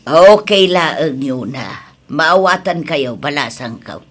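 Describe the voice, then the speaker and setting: A woman's voice: deep voice, lively intonation, slow pace, full character voice. A woman with an Ilocano accent playing a stern, long-suffering middle-aged mother in a radio drama, scolding and emphatic. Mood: apologetic, supportive.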